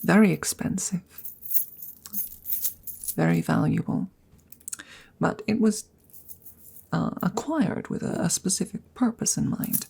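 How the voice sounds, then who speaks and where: A woman plays a courteous, awkward she-dragon in an intimate ASMR roleplay. Her voice is lowish and mellow with a gravelly creak, often thinning to a whisper. She picks her words haltingly and formally, shy and smitten. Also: heavily acted. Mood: courteous, hesitant. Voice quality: husky, breathy, often slipping into whispers. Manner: hesitant, halting, formal and polite.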